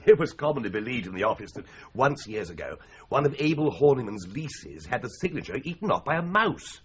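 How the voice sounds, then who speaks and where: Radio drama: flippant English office solicitor, very animated, wordy and droll, on slightly muffled old broadcast audio. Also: male voice, mid-range voice, fairly quick, a little hiss or hum. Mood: drily instructive. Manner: droll, mock-pompous, wordy. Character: breezy, flippant, theatrical solicitor in a stuffy London office. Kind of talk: 1980s radio drama acting.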